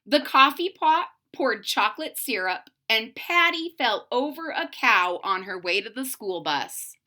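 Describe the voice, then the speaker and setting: A woman reads a children's storybook aloud in a bright, fairly high voice. Her delivery is expressive and unhurried, and she pauses the tale to explain things to young listeners. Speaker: storybook reader. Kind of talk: children's picture-book read-aloud with asides to viewers.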